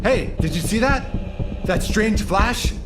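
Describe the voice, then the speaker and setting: An actor playing an excitable, eager young male crewman in video game cutscenes, talking in exclamations. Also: high-pitched, slow pace. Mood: excited. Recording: very noisy background.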